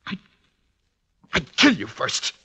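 An actor playing a desperate, hot-tempered young fencing champion in a 1950s radio drama, halting and tense. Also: male voice, sharp tone. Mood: angry, threatening.

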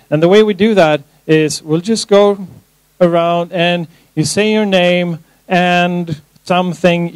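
A man with a fairly high voice runs a group workshop. He talks in a relaxed way, letting his phrases trail off. The sound is dry and close. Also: no room sound, low-quality recording. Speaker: course trainer. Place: conference room, standing at front.

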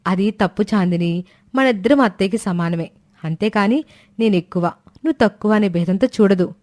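A woman voicing a gentle, patient elder daughter-in-law who calms others down. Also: medium pitch, moderate pace, natural voice. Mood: soothing. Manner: soothing, reasoning.

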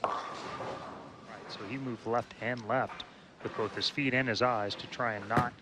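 A man giving color commentary on a bowling match, explaining technique in a measured way with frequent fillers. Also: mid-range voice, fairly even intonation. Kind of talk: analytical sports commentary. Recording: loud broadcast background noise.

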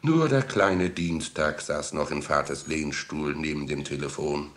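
A man's voice: very deep voice, measured pace, a bit bright. A man narrating a children's story as the narrator of a 1960s radio play.

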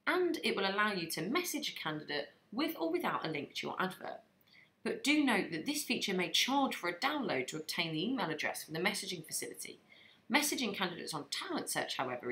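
Lively woman narrating a software tutorial. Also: medium pitch, slight background noise, rough recording. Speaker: software trainer.

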